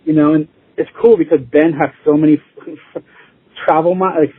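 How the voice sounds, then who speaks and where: Husky man on a tinny phone line. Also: a little high, flat delivery, moderate pace. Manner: informal, rambling, chuckling easily. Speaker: interview guest, band musician. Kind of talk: casual chat.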